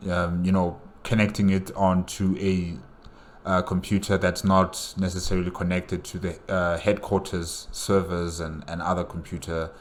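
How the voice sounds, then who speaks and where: A man's voice: low-pitched, little pitch movement, moderate pace. A man giving casual, rambling reaction commentary, often hesitating and repeating his phrases. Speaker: reaction video host.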